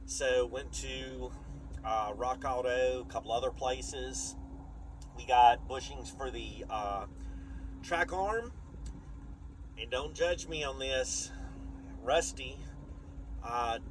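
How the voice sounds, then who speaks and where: A man chats casually and plainly in a folksy, rambling vlog style. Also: mid-range voice. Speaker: vlogger. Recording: clear vehicle and outdoor noise.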